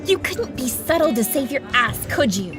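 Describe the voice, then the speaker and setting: A sharp-tongued young woman voiced by an actress in an audio drama. Her high voice swings widely in pitch, combative and biting, with music clearly behind it. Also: very animated, normal speed. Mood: bitter. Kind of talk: emotional audio play acting. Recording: music bed under the voice.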